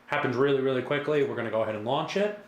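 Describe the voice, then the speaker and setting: A man's voice: medium pitch, rapid-fire. Echoey male tutorial demonstrator. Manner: casual, repetitive, reassuring instructor. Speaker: product demonstrator. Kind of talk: step-by-step software tutorial. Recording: echoey room with faint background noise.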